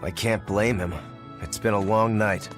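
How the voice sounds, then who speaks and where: An actor voicing a calm, wry male companion in a video game, with a laid-back, matter-of-fact delivery. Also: lowish voice, a little slow, music in the background, natural voice. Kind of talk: English video game voice acting.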